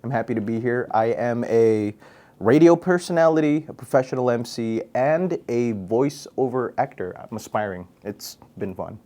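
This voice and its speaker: Young man from Guam, radio host and MC, relaxed and playful, casual interview tone. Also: mid-range voice, moderate pace. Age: young adult. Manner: goofy, laid-back, chatty with fillers. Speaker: radio personality and MC, interview guest.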